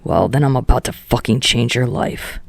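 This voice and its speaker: A man playing a brash, foul-mouthed, short-tempered teenage boy in a fan fiction audiobook, with a gruff, blunt, growling delivery. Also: mid-range voice, normal speed, dry recording, studio quality. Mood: blunt, insistent. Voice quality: gravelly, growling.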